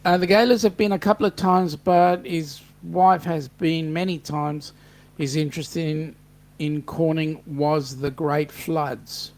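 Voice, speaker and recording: A man's voice: fairly high pitch, steady tone, unhurried, darkish tone. An Australian man hosting a casual livestream chat, upbeat and reading viewer comments aloud. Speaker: host.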